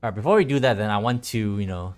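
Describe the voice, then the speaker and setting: Man, lowish voice, casual game streamer, muttering to himself, casual swearing, game music behind. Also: fast talker. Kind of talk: casual solo gameplay commentary.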